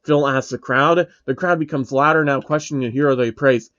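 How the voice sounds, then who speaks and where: A man's voice: medium pitch, a little fast, fairly bright tone, no room sound, dull audio. A man narrating fan fiction in an informal, comic way, stumbling over the text.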